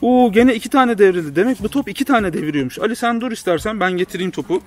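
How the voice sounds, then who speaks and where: A young man filming outdoors in a courtyard, narrating a children's bowling game with animated, sportscaster-style commentary. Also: high voice, fast talker. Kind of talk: playful play-by-play for a children's channel. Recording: music playing loudly under the voice. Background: loud background music.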